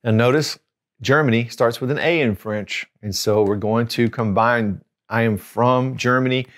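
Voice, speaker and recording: Patient man teaching a language lesson. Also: mid-range voice. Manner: patient, repeats each word slowly and spells it out; gestures with his hands while explaining. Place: studio with a dark backdrop. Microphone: lapel mic.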